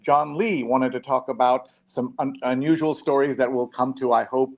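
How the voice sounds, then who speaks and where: A man's voice: mid-range voice, steady tone, normal speed. A man from New York hosting a live talk show in a casual, conversational presenting style. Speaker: show host. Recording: dull, lo-fi online stream audio.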